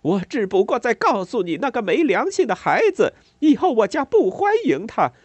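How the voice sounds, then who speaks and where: A man voicing a distraught mother who shrieks in a sharp, trembling voice. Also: sing-song, normal speed, breathy edge, dry recording, lo-fi sound, exaggerated voice. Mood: hysterical fury. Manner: shouting, shrill, frantic.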